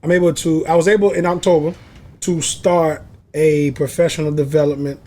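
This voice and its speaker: A young man with a somewhat high voice joins a podcast as a guest. He cuts in with short, punchy remarks and speaks with energy. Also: steady tone, moderate pace, dark tone. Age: 20s. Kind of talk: casual podcast chat.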